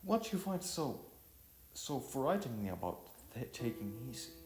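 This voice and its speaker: A man voicing a caring, gently insistent woman with a coaxing, chiding manner in an audio story. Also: medium pitch, sing-song, moderate pace, reverberant, slight background noise, voice pushed up, close to an everyday voice. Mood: coaxing, concerned.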